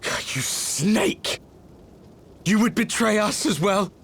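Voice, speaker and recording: A man's voice: sits high, sing-song, slow, gravelly, a bit bright, slightly rough, exaggerated voice. A man playing a menacing, theatrical warrior villain in a video game cutscene, with a sardonic, taunting drawl. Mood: angry.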